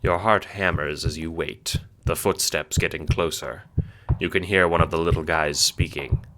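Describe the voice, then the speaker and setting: A man's voice: very deep voice, steady tone, normal speed, noisy background. A man narrating a scripted audio drama in second person, with a wry, dramatic storytelling manner.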